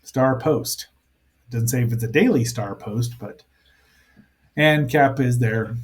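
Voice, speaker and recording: A man's voice: medium pitch, takes it slowly. A man hosting a casual review show, rambling and thinking aloud with playful asides as he comments on a cartoon.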